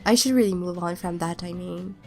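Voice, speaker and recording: Young woman w a stuffy cold voice, rambly and unsure, english not her first language, soft music under it. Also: on the low side, a little fast, gravelly. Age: young adult. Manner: rambling, hesitant, many restarts. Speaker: YouTuber narrating a speed build. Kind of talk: casual voiceover chat.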